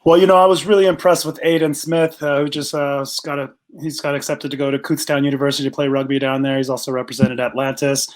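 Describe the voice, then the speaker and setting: A man speaking casually as an interview guest, telling things in a halting, earnest way with many fillers. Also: medium pitch, little pitch movement. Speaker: guest, rugby coach and organizer.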